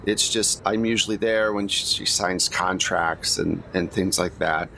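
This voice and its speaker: A man's voice: low-pitched, fairly even intonation, measured pace, creaky, pushed and bright, a little hiss or hum, voice pushed down, full character voice. A man playing a stiff, self-justifying manager in a tabletop roleplay, with a dry, hesitant and literal manner. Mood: defensive.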